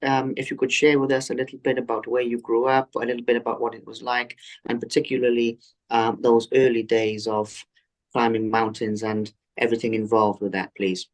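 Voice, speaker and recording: A man hosting an interview podcast, earnest and effusive, often pausing on "um" as he builds his questions. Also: mid-range voice, flat delivery, poor audio. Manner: earnest and effusive, with frequent ums and restarts. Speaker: podcast host.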